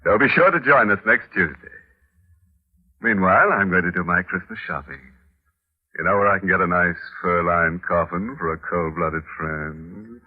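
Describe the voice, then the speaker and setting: An actor playing a gleefully morbid, mocking horror host on a 1940s radio show, theatrical and sardonic, on an old recording. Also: male voice, low-pitched, normal speed, husky. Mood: jovial, macabre. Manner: sardonic, theatrical, macabre humour. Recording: muffled vintage radio recording.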